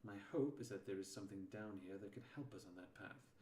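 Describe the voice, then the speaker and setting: A man reading the part of a weary, grave inquisitor in a dark sci-fi story, speaking formally and solemnly. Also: fairly low pitch, distant mic, natural voice. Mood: grim resolve. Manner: formal, solemn, measured phrasing. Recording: roomy echo with faint background noise.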